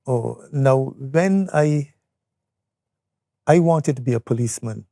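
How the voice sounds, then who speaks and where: Barbadian man, seventies, creaky mellow voice, close dry studio sound, unhurried reminiscence. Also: mid-range voice, no room sound. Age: 70s. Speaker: interview guest, retired or veteran educator. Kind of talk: conversational reminiscence.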